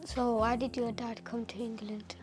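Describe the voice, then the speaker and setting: A young interviewer with an informal, halting way of talking, heard as a woman's voice. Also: on the high side, flat delivery.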